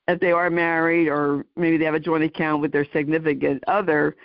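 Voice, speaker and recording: A woman's voice: sits low, dry recording. A woman in her sixties hosting a podcast, chatting casually and circling back over her phrases as she talks. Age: 60s. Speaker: podcast host. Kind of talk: casual conversational interviewing. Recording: dry, slightly dull audio.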